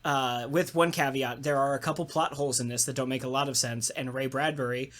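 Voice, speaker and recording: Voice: medium pitch, steady tone, brisk, fairly bright tone. An enthusiastic co-host and voice actor chatting casually in a round-table talk, swearing freely.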